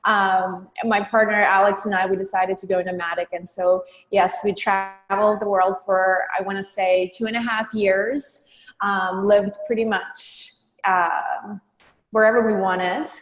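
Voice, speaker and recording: This woman's voice sits in a roomy, echoey space with a long reverb tail. she's a chatty podcast guest in her thirties, originally from estonia, answering warmly and repeating "yes" a lot. her pitch is right in the middle of the range. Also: fairly even intonation, a little slow. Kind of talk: casual interview chat. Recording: roomy reverberant room sound.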